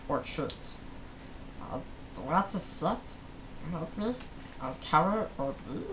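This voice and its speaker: Slow-paced, lower-pitched woman reading aloud. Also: slight background noise, poor audio. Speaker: read-aloud host. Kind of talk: slow children's storybook read-aloud.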